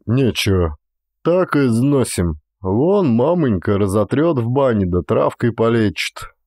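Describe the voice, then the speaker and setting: An actor playing a burly, reckless young Cossack who mutters gruffly and offhandedly in an audiobook drama. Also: male voice, medium pitch, lively intonation, unhurried, darkish tone, no room sound, studio quality. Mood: gruff, dismissive.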